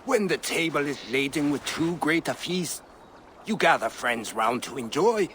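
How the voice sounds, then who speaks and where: Hearty seafaring raider for a game, eloquent and inviting, faint noise behind. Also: male voice, a little high, expressive, normal speed, fairly bright tone.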